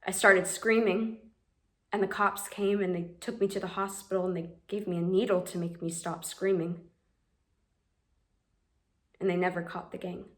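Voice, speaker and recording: A woman's voice: fairly low pitch, level tone, moderate pace, pauses often, fairly bright tone, natural voice. A woman playing a plainspoken, haunted young woman. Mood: hushed. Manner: plainspoken storytelling, builds to screams. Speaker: actor performing a monologue. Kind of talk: audition monologue.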